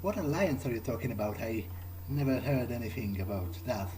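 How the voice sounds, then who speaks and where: A man's voice: medium pitch, animated, fairly quick, noisy background. A man doing in-character commentary on a video game playthrough, thinking aloud in short, hesitant phrases. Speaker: gamer playing in character. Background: game music.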